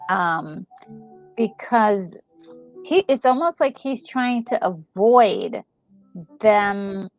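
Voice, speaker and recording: A woman giving casual reaction commentary on a game, thinking out loud in a conversational, musing way. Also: medium pitch, expressive, very slow, soft background music, poor audio. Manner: conversational, musing, trailing thoughts. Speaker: gaming video co-host.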